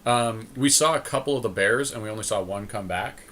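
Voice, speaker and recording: A man co-hosting a podcast, casually commentating on a card game draft and reasoning out loud. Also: on the low side, brisk, a little hiss or hum. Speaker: podcast co-host.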